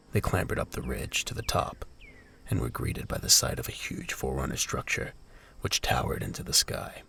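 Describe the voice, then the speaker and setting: A man's voice: rumbling low, gravelly, a bit bright. A man giving scripted story narration for an audio drama as its narrator.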